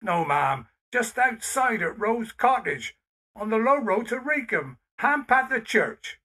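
A man's voice: high voice, very animated, slow pace, sharp tone, breathy edge, full character voice. A man playing a deferential, chatty rustic foreman with a West Country accent in an audio drama. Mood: eager, respectful. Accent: English West Country. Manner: folksy, rambling.

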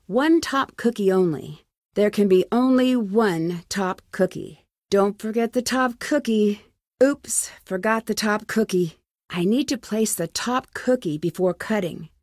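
A woman's voice: medium pitch, no room sound, clean studio sound, natural voice. An American woman playing a curious, plucky young amateur detective in a video game, talking to herself in short, wry remarks. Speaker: voice actor in a detective adventure game. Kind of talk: video game character lines.

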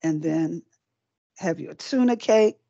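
A woman's voice: sits low, animated, slow pace, creaky, warm tone, dry recording. A middle-aged woman giving a live cooking demonstration, chatty and encouraging, often repeating words while she works at the stove. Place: small indoor set with folding screens. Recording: dry, dull lo-fi sound.

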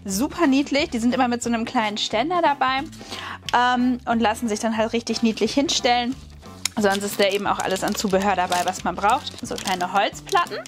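German woman, craft host, bright higher voice, chatty and eager, soft music behind. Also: fairly quick. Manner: chatty, excited, many fillers. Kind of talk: casual unboxing haul. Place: at a wooden table, hands on camera.

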